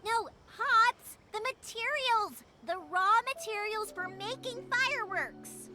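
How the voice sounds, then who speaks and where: Peppy young fireworks girl, really high bright voice, chatty, music behind. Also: slow pace. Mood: insistent. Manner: energetic, chatty, patient with her father. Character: bubbly young woman fireworks maker, outgoing and warm. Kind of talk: video game voice acting. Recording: game cutscene with music behind.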